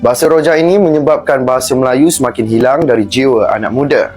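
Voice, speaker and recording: A man's voice: mid-range voice, fast talker, darkish tone, faint music behind. Formal Malaysian male reporter. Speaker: news reporter.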